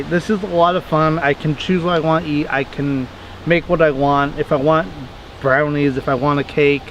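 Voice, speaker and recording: A man's voice: on the high side, slight background noise, rough recording. Rambling young man in the woods. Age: 20s. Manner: rambling, self-correcting, chatty. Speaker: vlogger answering viewer questions. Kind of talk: casual Q&A vlog. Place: outdoors in a wooded backyard.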